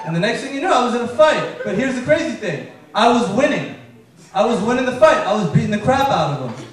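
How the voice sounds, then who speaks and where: A young man with a high voice doing stand-up, telling deadpan stories and slipping into the people he describes. His voice is heard from a distance on a live stage mic. The room is very echoey. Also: fast talker, crowd noise. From Afghanistan. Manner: deadpan storytelling, acting out characters in quotes. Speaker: stand-up comedian. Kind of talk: stand-up comedy set. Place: comedy club stage.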